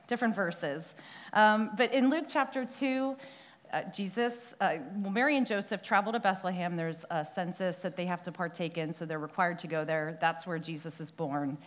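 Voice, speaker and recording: Young woman preacher, lower voice, chatty sermon delivery, frequent "right?" asides. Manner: conversational, frequent tag "right?", some restarts. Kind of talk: sermon with prayer and scripture reading. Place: church.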